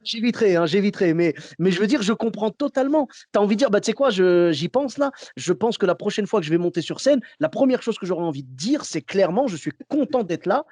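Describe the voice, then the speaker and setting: A man's voice: high-pitched, lively intonation, quick pace, hardly any pauses, darkish tone, no room sound. A man hosting a casual podcast interview, hesitant and searching for words as he talks. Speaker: podcast host.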